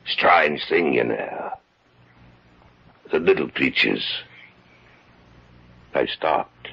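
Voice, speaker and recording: An actor playing a slow, menacing British stranger lurking in dark woods, gravelly and husky, in an old radio drama. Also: male voice, very deep voice, animated, exaggerated voice. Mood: quietly menacing. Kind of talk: 1940s radio acting. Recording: muffled, narrow-band old 1940s radio recording.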